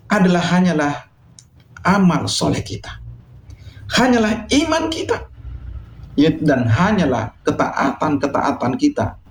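Echoey, higher-voiced male preacher. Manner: solemn, exhorting, deliberate with emphatic phrasing. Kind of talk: sermon addressed to listeners. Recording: echoey, reverberant sound.

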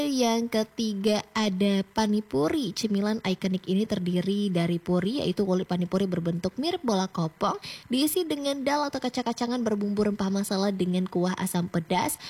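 A young adult woman radio announcer, reading a scripted segment in a lively, chatty way and often speaking straight to her listeners. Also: fairly high pitch. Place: radio studio.

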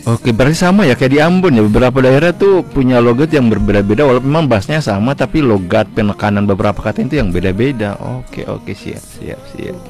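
A man hosting a radio talk show interview, upbeat and quick to say "okay, ready". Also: mid-range voice, darkish tone, faint music behind. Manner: upbeat, repeats "oke, siap" often. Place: radio studio.

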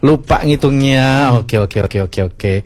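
A man's voice: mid-range voice, measured pace, darkish tone. A man hosting a radio show, joking with his co-host and a guest. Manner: jokey, upbeat. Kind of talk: casual radio banter. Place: radio studio.

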